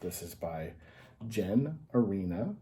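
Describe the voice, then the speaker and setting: A man's voice: sits low, very animated, slow pace, mellow. A man hosting a children's story time, patiently explaining things in simple terms in an informal, educational read-aloud style.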